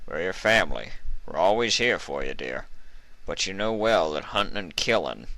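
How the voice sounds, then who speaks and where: A man voicing an old stallion sheriff in a storybook reading, quiet and seasoned, folksy, stern but tender. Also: deep voice, lively intonation, normal speed, dry recording, heavily acted, low-quality recording. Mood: gentle consoling. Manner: folksy, controlled, comforting. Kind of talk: storybook character voice in fan fiction reading.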